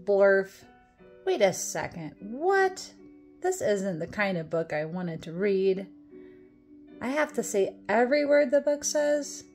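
A woman's voice: medium pitch, big pitch swings, darkish tone, faint music behind. A woman reading a children's picture book aloud in a playful, theatrical way, with exaggerated expression. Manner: playful, exaggerated mock dismay, dramatic drawn-out words and whispers. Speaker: storytime reader.

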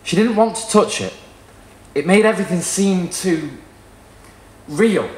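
A young man giving an expressive, theatrical live reading of literary fiction. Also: high voice, unhurried. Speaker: story reader. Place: small indoor performance room with wooden wall. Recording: roomy live venue sound.

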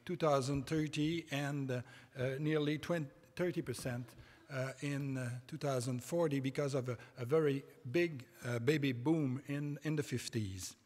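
A middle-aged man with a French Canadian accent, a native French speaker giving a conference lecture from a podium, halting with many hesitations and restarts. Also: mid-range voice, fairly even intonation, a little mellow. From Quebec, Canada. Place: large conference hall stage. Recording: reverberant conference hall.

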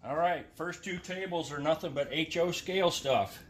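A man's voice: fairly high pitch, moderate pace. A man in his fifties walks through tables of items and explains them plainly, like someone who knows the hobby well. The sound comes off a handheld phone in a big warehouse, with a faint hum in the background. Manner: matter-of-fact, knowledgeable. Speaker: train collector guest. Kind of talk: casual explaining. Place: large indoor storage warehouse.

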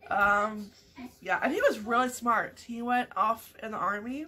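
A woman's voice: on the high side, unhurried, pushed and bright. A woman in her thirties from Canada, chatting casually to her webcam over morning coffee at home, rambling with lots of fillers and restarts. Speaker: vlogger. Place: small home room indoors.

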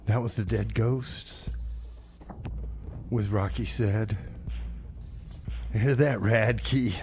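A man's voice: fairly low pitch, fairly even intonation, takes it slowly, darkish tone. Laid-back radio man over audible noise. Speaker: community radio music show host. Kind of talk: casual radio DJ talk, announcing songs and local events.

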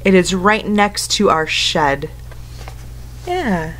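A woman's voice: lowish voice, expressive, slow, fairly bright tone, a little hiss or hum. Woman teacher reading aloud to young children. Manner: instructive, simple phrasing for children, often asking questions. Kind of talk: picture-book read-aloud with asides and questions to young listeners. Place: indoors at home, living room couch.

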